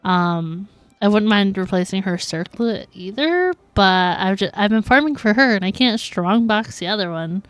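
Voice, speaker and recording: A woman's voice: mid-range voice, expressive, normal speed, no echo, faint music behind. Woman streamer chatting over a game, dry sound. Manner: giggly, reacting out loud. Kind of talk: casual live game commentary.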